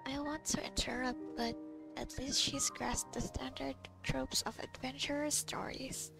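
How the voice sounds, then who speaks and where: A woman's voice: sits high, steady tone, unhurried, gravelly, poor audio. A woman giving casual gameplay commentary, with informal, dry asides. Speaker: gamer commentator. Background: game music.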